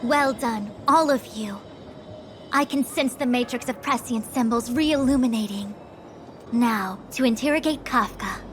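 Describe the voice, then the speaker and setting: An actress playing a proud, stern young diviner in command in a video game, speaking formally and imperiously. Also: female voice, a little high, measured pace, soft background music, natural voice. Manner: formal, imperious, crisp wording. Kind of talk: dramatic video game voice acting.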